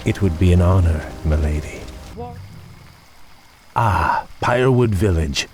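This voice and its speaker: Young noble elf prince, low register, courtly and earnest, fantasy game dialogue with music clearly underneath. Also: male voice, lively intonation, unhurried. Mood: courteous, grave. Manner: formal, courtly. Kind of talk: dramatic video game voice acting.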